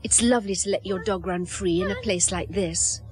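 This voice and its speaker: A woman's voice: medium pitch, moderate pace, slightly rough, no echo. A woman presenting a public safety film with scripted, advisory narration.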